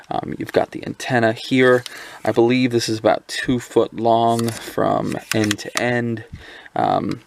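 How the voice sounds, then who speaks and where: A man's voice: mid-range voice, vocal fry, a little hiss or hum. A man giving a casual hands-on review of a handheld radio, talking loosely with lots of ums and restarts. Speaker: product reviewer.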